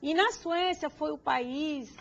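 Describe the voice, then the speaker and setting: A woman with a noticeably high voice speaks Portuguese with a Cuban Spanish accent, answering as an interview guest. She talks in a lively way, stopping to restart now and then. The sound is a little dull, like broadcast audio. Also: slow pace, gravelly, slight background noise. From Cuba. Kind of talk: conversational interview.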